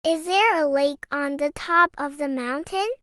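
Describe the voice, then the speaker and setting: A man doing a high, eager little girl, full of questions. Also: sharp tone, dry recording, studio quality, exaggerated voice. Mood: excited. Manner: high and drawn-out, many questions. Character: curious eager young girl.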